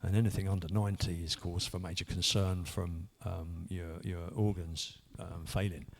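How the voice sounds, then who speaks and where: A man's voice: low voice, little pitch movement, normal speed, creaky. A man, earnestly telling his own story in a rambling way, full of restarts. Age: 50s. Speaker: church member sharing testimony. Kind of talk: personal testimony.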